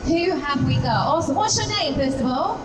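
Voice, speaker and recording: A woman's voice: sits high, lively intonation, fairly quick, over music. A woman hosting a live question session on stage, chatty and encouraging with the audience. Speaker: event host. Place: large indoor event hall. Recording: echoey noisy event hall, distant microphone.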